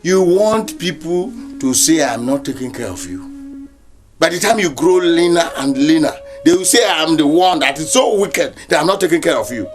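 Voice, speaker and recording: An actor with a Nigerian accent playing a harsh, bullying uncle in a film drama, scolding and repeating commands over music. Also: male voice, a little high, animated, normal speed, lightly acted. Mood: angry, scolding. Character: harsh, bullying uncle berating his orphaned niece.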